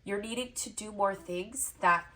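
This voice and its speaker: A woman reads tarot for her viewers with a stuffy, congested nose. Her pitch sits in a mid range, and she speaks in a soft, reassuring way, stacking repeated phrases into long flowing lists as she delivers her reading straight to camera. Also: a little slow. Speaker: tarot reader.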